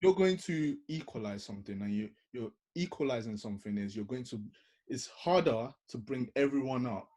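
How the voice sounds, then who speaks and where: Husky man making his points in order. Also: medium pitch, expressive, mellow. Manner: measured, lays out points in order. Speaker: panel guest. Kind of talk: reasoned panel discussion. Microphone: video call.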